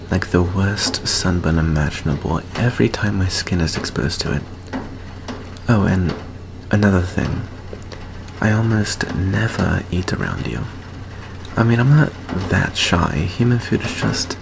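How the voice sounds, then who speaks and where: Man playing a low, gravelly, hesitant teenage vampire. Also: background noise, heavily acted. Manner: hesitant, halting, stammering. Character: nervous, earnest teenage boy vampire confessing to a friend. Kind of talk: intimate ASMR roleplay acting.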